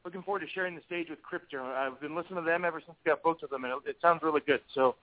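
A man's voice: fairly high pitch, racing, over a noisy crowd. A man, a guest band member, calling in to a radio interview and speaking a little hesitantly. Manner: hesitant, unsure of details. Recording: tinny phone line.

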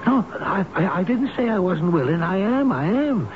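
An actor playing an addled, gentle, childlike old man who talks haltingly and repeats himself in a 1970s radio drama. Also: high-pitched, big pitch swings, background noise. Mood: secretive, anxious, tender. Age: older. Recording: tinny narrowband old radio broadcast with music.

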